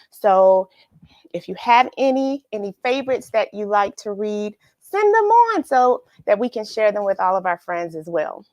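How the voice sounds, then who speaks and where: A woman's voice: a little high, no room sound. A woman hosting a children's read-along in a casual, effusive way, with sing-song phrasing and repeated words. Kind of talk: casual livestream greetings and picture-book read-aloud.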